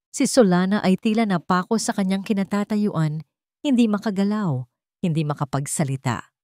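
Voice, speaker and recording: A woman narrating a scripted dramatic story in an even, dramatic storytelling manner. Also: on the low side, no room sound, clean studio sound.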